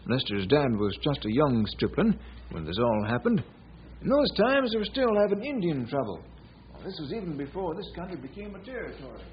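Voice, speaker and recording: An actor playing a polite, earnest bank president with a husky, raspy voice and formal manner in an old radio western. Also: male voice, on the high side, big pitch swings, a little fast, very noisy. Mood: storytelling. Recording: dull old radio recording.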